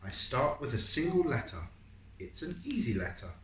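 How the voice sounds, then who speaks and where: An adult reads a picture book to a young child with lively, swooping intonation, drawing out the story phrases. They pause to ask guiding questions and encourage the answers. The room is echoey, with faint background noise. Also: mid-range voice. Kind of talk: storybook read-aloud mixed with casual questions to a child. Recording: roomy echo, some background noise.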